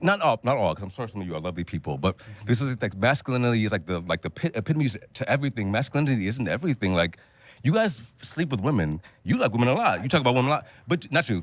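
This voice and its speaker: A man arguing in a group debate, animated and exasperated. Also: mid-range voice, racing, no echo.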